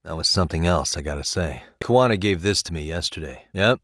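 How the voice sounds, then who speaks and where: A man's voice: low voice, lively intonation, fairly quick, fairly bright tone, no room sound. A man with an American accent voicing an easygoing, principled detective in a video game, talking in a dry, wry way as he reasons things through. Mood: serious, determined. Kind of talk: video game voice acting, conversational dialogue.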